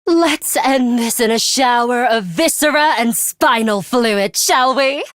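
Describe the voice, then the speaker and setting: A woman voicing a cold, aloof young woman fighter in a video game, with a composed, formal, deadpan and disdainful manner and a bloodthirsty streak. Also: on the high side, slow pace, no room sound, clean studio sound. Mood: cool, impatient. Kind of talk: English video game voice acting.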